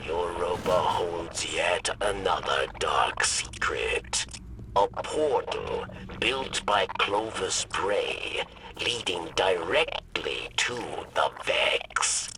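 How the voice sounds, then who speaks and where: An actor playing a weary, pleading alien informant in a video game, with a breathy rasp and halting, formal broken syntax. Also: male voice, deep voice, animated, full character voice. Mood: grave warning. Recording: distant, heavy noise of game ambience.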